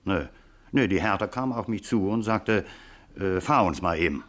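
Halting, deep-voiced cabbie. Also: male voice, a bit bright. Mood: hesitant, chatty. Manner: halting, hemming, chatty, small nervous chuckles. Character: eager, hesitant Hamburg taxi driver witness. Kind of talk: 1950s German radio crime drama acting.

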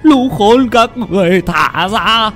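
A man's voice: sits high, expressive, slow pace, a bit bright, soft background music, pitched above the performer's own voice, exaggerated voice. Man acting a shrieking, furious evil spirit. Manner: threatening, demanding.

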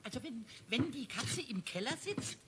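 A man voicing a cheeky, childlike little kobold in a 1970s German children's radio play, stammering and repeating words excitedly. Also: extremely high pitch, normal speed. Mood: eager. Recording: noisy old radio play recording.

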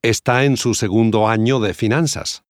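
A man acting a friendly conversational part in a scripted language-course dialogue, enunciating clearly. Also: fairly low pitch, steady tone, normal speed, dry recording, clean studio sound, lightly acted.

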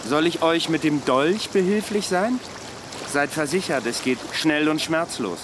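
An actor playing a world-weary immortal former soldier in a radio drama, speaking with dry courtesy and archaic wording. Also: male voice, a little high, animated, a little slow, noisy background. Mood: matter-of-fact. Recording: music and watery ambience behind voice.

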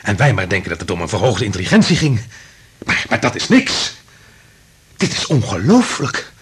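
Excitable, husky male scientist. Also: fairly low pitch, normal speed, full character voice. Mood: amazed, excited. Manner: impatient, insistent. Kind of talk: Dutch radio drama acting.

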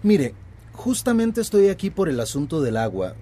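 Actor as husky, placating company man. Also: on the high side, huge pitch swings, normal speed, dark tone. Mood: concerned, condescending. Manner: placating, deferential. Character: obsequious male company representative, smooth-talking and condescending. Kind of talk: radio drama acting.

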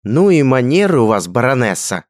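A cheerful young hunter who teases and mocks his gruff friend, pitched well above the reader's own deep voice and pushed louder and livelier, as a male narrator puts him on. The sound is close and dry, with no room around it. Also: expressive, brisk, no echo, close to an everyday voice. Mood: teasing. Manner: mocking, playful. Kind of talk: audiobook character voice.

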